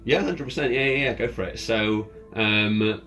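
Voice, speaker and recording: Low-voiced man running a tabletop game. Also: moderate pace, pushed and bright. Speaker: game master. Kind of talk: improvised tabletop game narration. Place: room, in-person game session. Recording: ambient music behind the voice. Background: ambient music.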